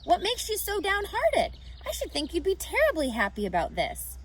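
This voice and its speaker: A woman's voice: extremely high pitch, wildly swooping pitch, moderate pace, a little hiss or hum, voice pushed up, full character voice. A woman voicing a naive, tender young male pig in a storybook, eager and full of questions. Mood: worried, hopeful.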